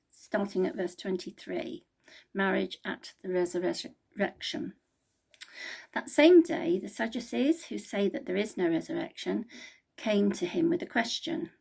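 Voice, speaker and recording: A woman's voice: medium pitch, lively intonation, a little slow, creaky, dark tone, breathy edge. A woman reading the Bible aloud as a member of a church.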